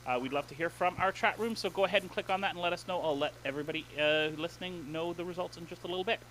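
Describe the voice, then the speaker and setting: A man hosting a gaming podcast in casual chat, excitable and playful, laughing often. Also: fairly high pitch, rapid-fire, slight background noise, poor audio. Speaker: podcast host.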